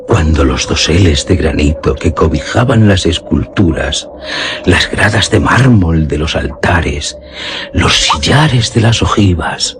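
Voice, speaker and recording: A man with a very low voice narrates a gothic horror story over prominent music. His delivery is slow, solemn and literary, built for suspense. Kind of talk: scripted dramatic narration of a gothic horror tale. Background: music under the voice.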